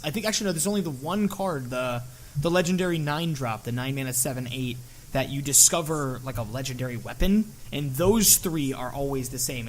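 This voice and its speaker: A fairly high-voiced man chatting on a casual podcast, quick to get worked up. He exclaims and swears as he reacts, loud and animated. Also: slight background noise, rough recording. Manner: excitable, exclaims and swears freely. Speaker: podcast co-host.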